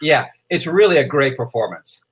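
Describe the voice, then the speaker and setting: A man's voice: medium pitch, lively intonation, moderate pace, slightly rough. A man hosting a children's program, interviewing his guest in an upbeat, effusive, encouraging way. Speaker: host of a children's story program.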